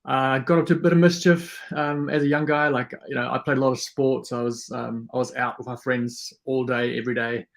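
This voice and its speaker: A man from South Africa who grew up speaking Afrikaans chats as a podcast guest. His voice sits at an ordinary middle pitch. He talks in a relaxed, friendly way and fills pauses with ums and uhs. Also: gravelly. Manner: relaxed and friendly, many fillers. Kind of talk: casual interview chat.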